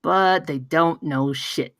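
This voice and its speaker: A woman playing a brash, excitable pitchman in a table read, telling things in an emphatic, sweary, conversational way. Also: slow pace, no room sound, clean studio sound. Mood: excited storytelling. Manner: emphatic, profane, conversational storytelling. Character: brash, excitable male pitchman spinning a campfire tale.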